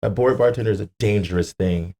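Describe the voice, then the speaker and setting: A man's voice: on the low side, quick pace, warm tone. A man guesting on a podcast in casual chat, emphatic and profane, often repeating his phrases for effect.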